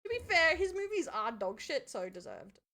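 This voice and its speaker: A woman's voice: high-pitched, very animated, normal speed, pushed and bright. A woman with an Australian accent giving casual, chatty live reactions as a streamer, giggly and blunt with her swearing.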